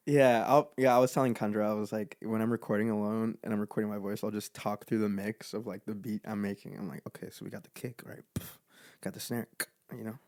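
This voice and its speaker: A man's voice: on the low side, steady tone, fast talker, darkish tone, no room sound, clean studio sound. A man in his twenties, a guest musician, talking easily with lots of fillers and laughs. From United States. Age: 20s. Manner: easygoing, laughs a lot, filler-heavy. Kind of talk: casual chat.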